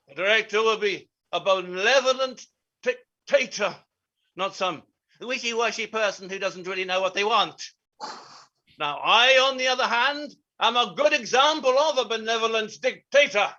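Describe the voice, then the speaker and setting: A man's voice: sits high, normal speed, bright, forceful tone, lo-fi sound. A man playing a self-important, opinionated playwright who lectures in a pompous, declamatory way in a staged reading. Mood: smug. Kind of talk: theatrical monologue in staged reading.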